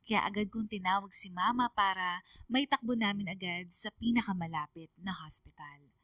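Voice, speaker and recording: A woman's voice: medium pitch, moderate pace, dry recording, studio quality, recorded from a distance. A woman with a Filipino accent narrating a radio drama story in the first person, sorrowful and emotive. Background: soft music.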